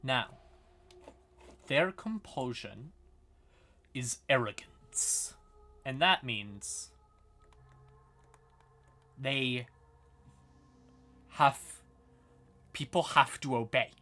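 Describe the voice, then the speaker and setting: A man's voice: mid-range voice, lively intonation, very slow, pauses often, sharp tone, faint music behind. A man hosting a livestream, explaining fantasy lore in a casual, informal manner with some swearing. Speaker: live streamer and host.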